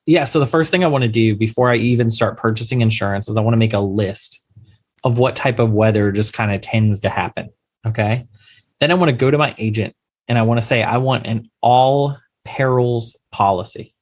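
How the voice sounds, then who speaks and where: An emphatic man gives advice as a podcast guest, with a middle-pitched voice. He stresses his points and often asks questions back, keeping a plain, explanatory manner. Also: brisk. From Louisiana, USA. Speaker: insurance attorney guest. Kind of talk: podcast interview, advising investors.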